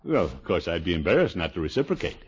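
A man's voice: deep voice, big pitch swings, quick pace. A man playing a pompous, genial, easily flustered middle-aged uncle who hems and haws in a 1950s radio sitcom. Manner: pompous, chuckling, hemming and hawing. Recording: muffled old radio recording.

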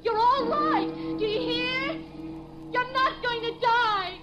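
A woman's voice: extremely high pitch, slow, lo-fi sound, over loud music. A woman playing a frantic, guilt-stricken young woman who pleads earnestly in 1950s radio melodrama style. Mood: desperate pleading.

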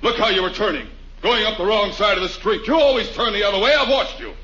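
An actor playing a fussy, aggrieved, pompous neighbour in a huffy 1940s radio comedy style. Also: male voice, high-pitched, fast, sharp tone. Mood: indignant. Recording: noisy muffled 1940s radio recording.

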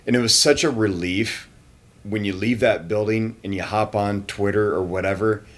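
A man with a slightly low voice who mostly chimes in with brief agreement. He talks casually in a hotel room. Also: moderate pace. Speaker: podcast co-host. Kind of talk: casual chat.

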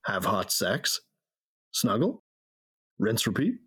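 Actor as a deep-voiced, grieving, brooding man. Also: very animated, takes it slowly, fairly bright tone, slightly rough, heavily acted. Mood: teasing, tender. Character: grieving big man, tender, weary lover and narrator. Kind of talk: dramatic first-person audio drama narration. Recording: music under the voice.

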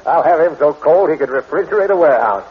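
Cocky, bossy police inspector, very husky, big swings in delivery; muffled vintage radio drama. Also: male voice, fairly high pitch, lively intonation, fast. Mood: gloating, impatient. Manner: gloating, bossy. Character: blustering, cocky, impatient male police inspector. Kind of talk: 1940s radio acting. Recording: dull 1940s radio recording.

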